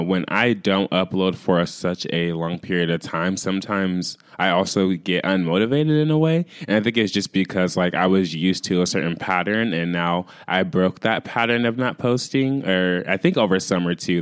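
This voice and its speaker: A man hosting a casual solo podcast, chatty and full of fillers, his voice a little raspy as he recovers from losing it. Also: low-pitched, dry recording, clean studio sound. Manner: chatty, filler-heavy, addresses listeners as "you guys". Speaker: podcast host.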